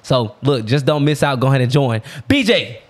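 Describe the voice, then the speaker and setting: A man's voice: medium pitch, lively intonation, normal speed. A man hosting a podcast, talking in a hyped, slangy way and laughing easily. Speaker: podcast host. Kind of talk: casual podcast chat.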